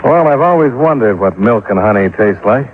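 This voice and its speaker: A man's voice: lowish voice, lively intonation, normal speed, a little hiss or hum, natural voice. A man acting a cool, wry American insurance investigator in 1950s radio style, clipped, dry and hardboiled. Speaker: lead actor and narrator of a radio detective serial. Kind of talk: 1950s radio acting, first-person scripted narration. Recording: muffled narrow-band old radio, music behind.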